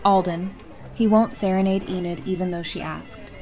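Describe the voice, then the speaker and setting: Woman acting a warm, teasing young mother. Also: fairly low pitch, steady tone, normal speed, soft background music, lightly acted. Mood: friendly banter. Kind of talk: audio drama acting, conversational.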